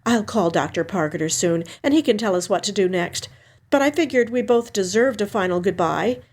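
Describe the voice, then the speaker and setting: A woman voicing a grieving, dutiful older sister in a story reading, with an earnest, gentle delivery. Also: medium pitch, brisk, close to an everyday voice. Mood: weary, composed. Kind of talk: audiobook character dialogue.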